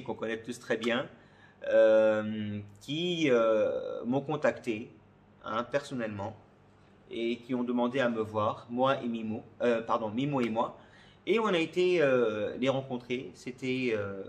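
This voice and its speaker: A man from Côte d'Ivoire answers questions in a casual vlog, often searching for words and repeating phrases. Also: mid-range voice. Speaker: comedy video creator.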